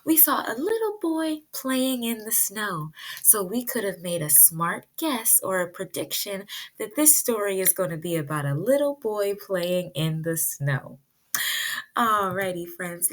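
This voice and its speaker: A woman preschool teacher leading a virtual read-aloud lesson for young children, speaking in simple, deliberate phrases and putting questions to the children. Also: medium pitch.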